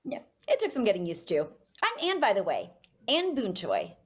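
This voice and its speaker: An actress voicing a friendly, casual, spirited teenage girl in a cartoon, with a husky voice. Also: medium pitch, wildly swooping pitch, normal speed. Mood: friendly, amazed. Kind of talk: animated cartoon table read.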